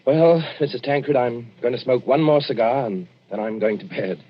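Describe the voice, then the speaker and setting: An actor playing an anxious, irritable gentleman doctor, stammering and agitated, in a 1940s radio drama. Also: mid-range voice, moderate pace, slight background noise, natural voice. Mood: brooding. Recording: dull vintage 1940s radio recording, music.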